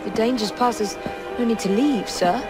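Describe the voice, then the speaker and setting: An actress playing a courteous, composed young Victorian Englishwoman in a video game, measured and earnest, with an English accent. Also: fairly high pitch, loud music behind the voice, lightly acted. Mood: concerned. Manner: polite, measured. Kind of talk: video game cutscene acting.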